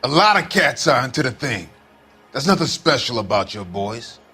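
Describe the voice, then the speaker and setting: A man's voice: medium pitch, sing-song, moderate pace, sharp tone, husky, faint music behind, full character voice. An actor playing a laid-back male jazz musician in a 1940s noir game, with casual slang. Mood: dismissive.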